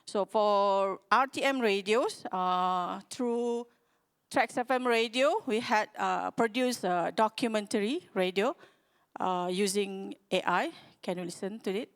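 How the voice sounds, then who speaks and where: Malaysian lady doing a conference talk, smooth clean voice, stop-start phrasing. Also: medium pitch. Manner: halting, hesitant phrasing. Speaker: conference speaker from a radio broadcaster. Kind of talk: conference presentation.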